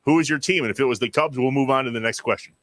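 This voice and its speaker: A man's voice: medium pitch, racing, a bit bright, dry recording. A middle-aged man with an American accent, hosting a casual, joking sports interview. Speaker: interview host.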